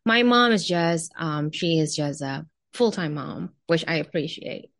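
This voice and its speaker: Lowish-voiced young Indonesian-accented woman. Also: expressive, measured pace, fairly bright tone, no echo, clean studio sound. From Jakarta, Indonesia. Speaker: podcast guest. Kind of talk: casual interview chat, telling personal background.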